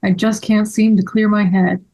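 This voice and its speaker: A woman's voice: mid-range voice, flat delivery, moderate pace, warm tone, close to an everyday voice. A woman playing an earnest, sensitive young boy in a children's story table read, speaking in a heartfelt way. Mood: overwhelmed.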